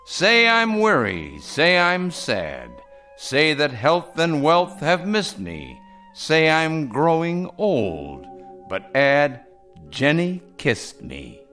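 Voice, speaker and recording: An actor playing a gentle, whimsical old man in a radio drama, doting and a little hesitant. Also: mid-range voice, wildly swooping pitch, slow pace, soft background music, full character voice. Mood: playful, tender. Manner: gentle, playful, hesitant when pressed.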